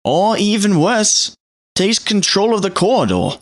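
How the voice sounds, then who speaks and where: A woman's voice: medium pitch, sing-song, a little slow. An actress playing an effusive, anxious council noblewoman in an audio drama, with earnest, exclamatory delivery. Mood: worried. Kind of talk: scripted audio drama acting.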